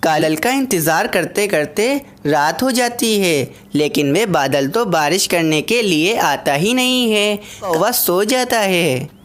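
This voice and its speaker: A woman reading scripted narration for an animated children's story. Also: lowish voice, takes it slowly.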